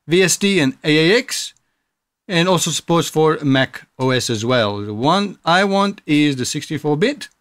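Higher-pitched man presenting a casual tutorial. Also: fairly bright tone, no echo. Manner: explanatory, step-by-step.

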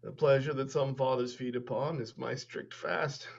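A man in his forties playing a dying old English duke in a Shakespeare reading over a video call, declaiming slowly and with passion. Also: mid-range voice, steady tone, gravelly. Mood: bitter. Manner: declamatory, slow and impassioned. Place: home room. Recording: muffled video call audio.

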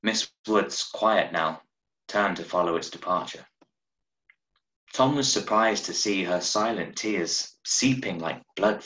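A man in his thirties giving a measured, expressive reading of a short story over a video call. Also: fairly low pitch. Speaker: story reader. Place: small room at home, on a video call. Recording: roomy, echoey video-call sound.

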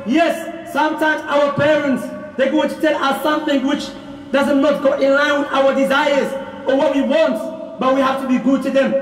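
A man in his thirties giving a Friday sermon in a mosque hall, speaking with passion and often rising to a shout. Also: very high-pitched, level tone, moderate pace. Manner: impassioned, often raises voice to a shout. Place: mosque prayer hall with plain walls. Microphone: handheld mic on stand, filmed from across room. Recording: echoey hall, distant mic.

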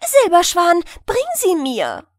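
An imperious enchanted lady from a storybook, acted by a woman, calling out loud and high. Her commands ring proudly, with sweeping pitch. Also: huge pitch swings, slow pace, no echo, clean studio sound, heavily acted. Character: proud, strange lady commanding from a magic carriage. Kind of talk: storybook character voice.

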